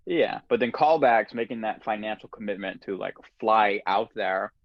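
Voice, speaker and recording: A man hosting a casual podcast conversation, telling relaxed stories with frequent laughs. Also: lowish voice, moderate pace, dry recording, lo-fi sound. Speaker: podcast host.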